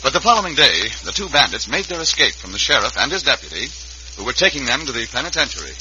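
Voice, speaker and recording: A man's voice: mid-range voice, very animated, fast, noisy background. A man announcing and narrating a scripted old-time radio western serial. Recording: muffled old radio recording. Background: music.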